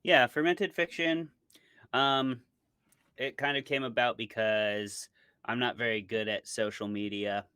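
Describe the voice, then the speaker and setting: A man's voice: mid-range voice, fairly even intonation, unhurried, bright, forceful tone, clear, resonant tone, no room sound, studio quality. A man being interviewed as a podcast co-host, explaining things in a casual, informal way.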